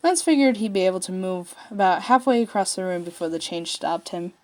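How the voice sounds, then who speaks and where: A woman reading fiction aloud as an amateur storyteller, with an expressive, emphatic and slightly stumbling delivery. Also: fairly low pitch, fairly quick. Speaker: amateur fan fiction reader.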